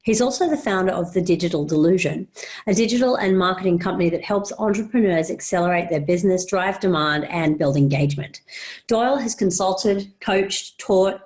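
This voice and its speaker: A woman's voice: on the low side, darkish tone. A woman hosting an interview podcast, presenting and putting questions to a guest. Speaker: podcast host. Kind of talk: scripted introduction and interview questions.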